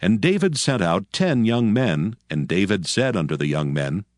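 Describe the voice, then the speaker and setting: A man's voice: fairly low pitch, sing-song, moderate pace, no echo, studio quality. A man narrating dramatized scripture as a solemn storyteller.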